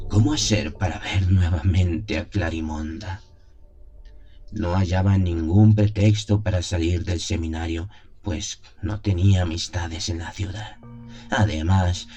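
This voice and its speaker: A man's voice: sits low, mellow, lightly acted. A man playing an anguished, lovesick young seminarian who solemnly narrates his torment in a radio play. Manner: solemn, brooding, theatrical.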